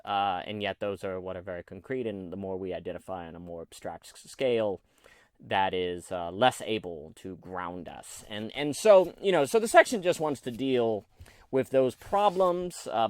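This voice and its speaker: A man's voice: fairly low pitch, expressive, normal speed, clean tone, no room sound. A man hosting his own talk, thinking aloud about ideas in a loose, rambling way with frequent "uh"s and restarts. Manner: thoughtful, rambling with many fillers and restarts. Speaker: podcast host discussing philosophy. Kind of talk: unscripted solo commentary.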